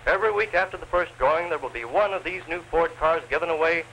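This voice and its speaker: A man's voice: on the high side, fast talker, pushed and bright. A man narrating a 1930s newsreel advertisement in a declamatory salesman's delivery. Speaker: promotional film narrator. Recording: noisy 1930s film soundtrack.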